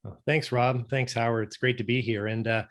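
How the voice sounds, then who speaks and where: A man's voice: mid-range voice, fairly even intonation, moderate pace. Norwegian-born man explaining patiently. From Norway. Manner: explains patiently with frequent "right?" tag questions. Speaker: guest, company director. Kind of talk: conversational explaining.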